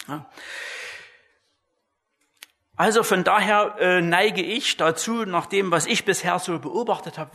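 A man delivers an explanatory church lecture. His voice sits a little high for a man and carries a strong creak. He speaks deliberately and didactically, like a teacher setting out points for his congregation. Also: fairly bright tone. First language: German. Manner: deliberate, didactic, often adding "ja" as a tag.

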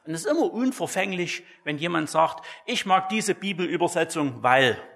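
A man delivers an explanatory church lecture. His voice sits a little high for a man and carries a strong creak. He speaks deliberately and didactically, like a teacher setting out points for his congregation. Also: animated, fairly bright tone. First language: German. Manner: deliberate, didactic, often adding "ja" as a tag.

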